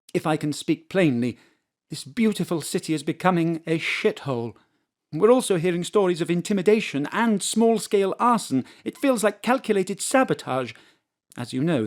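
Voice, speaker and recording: An actress playing a brisk female city mayor who speaks formally and politely, then bluntly. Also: fairly low pitch, lively intonation, a little mellow, lightly acted. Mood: businesslike. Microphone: home studio mic.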